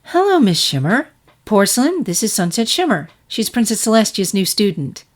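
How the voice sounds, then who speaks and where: A woman's voice: mid-range voice, sing-song, moderate pace, voice pushed up. A woman voicing a proper, cordial head-maid pony, an elder sister with polite, practiced authority, in a story reading. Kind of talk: fan fiction character reading.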